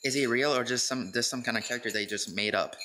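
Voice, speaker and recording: A man acting as a jovial, polite gentleman dinner guest in a radio play, chatty and proper. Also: medium pitch, fast, slight background noise, natural voice. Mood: curious, jovial.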